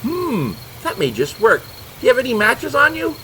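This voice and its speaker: A man's voice: very high-pitched, wildly swooping pitch, normal speed, bright, forceful tone, a little hiss or hum, exaggerated voice, poor audio. A man playing an irritable, exasperated monster hunter who scolds his partners like a foul-mouthed know-it-all. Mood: irritated, skeptical.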